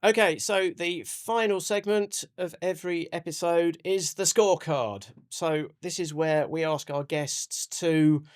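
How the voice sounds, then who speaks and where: A man hosting a podcast, putting questions to a guest in a conversational interviewing style. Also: on the high side. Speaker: podcast host.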